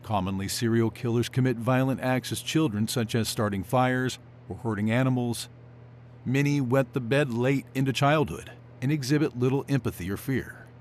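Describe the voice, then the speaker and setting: Man playing a clinical professor type guy for an audio drama, lecturing, medium pitch, faint hum in the background. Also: normal speed, darkish tone, close to an everyday voice. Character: male criminal psychology expert, clinical, academic, composed. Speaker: expert interviewee. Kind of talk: scripted expert explanation in audio drama.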